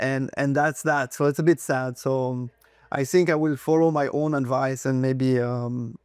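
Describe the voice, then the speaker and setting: Clear-voiced man with a Swiss French accent. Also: medium pitch, a little mellow, no echo. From French-speaking Switzerland. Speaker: interview guest, real estate agent. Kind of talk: casual conversational interview.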